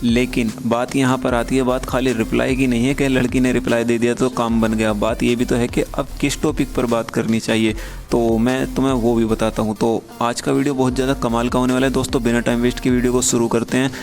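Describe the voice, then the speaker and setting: A man's voice: medium pitch, flat delivery, a little fast, a little mellow, faint music behind. A young man from Uttar Pradesh chatting casually as he walks viewers through a screen recording of his messages. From Uttar Pradesh, India. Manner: chatty, talks straight to viewers. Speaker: YouTuber giving chat tips.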